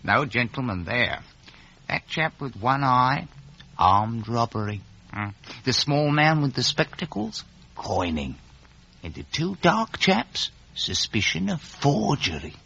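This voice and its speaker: Knowing clerk, animated, muffled recording. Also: male voice, mid-range voice, takes it slowly, vocal fry, slightly rough, exaggerated voice. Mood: wry, confiding. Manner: terse, dry, ungrammatical. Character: knowing, rough-spoken Victorian London law clerk. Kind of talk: 1950s radio drama acting. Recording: muffled, noisy vintage radio recording.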